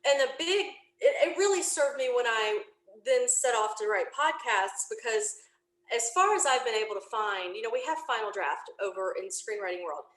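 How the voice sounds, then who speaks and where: A woman chatting casually as an interview guest, explaining her work in an animated, expressive way. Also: on the high side, normal speed, roomy sound, low-quality recording. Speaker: podcast guest, audio drama writer.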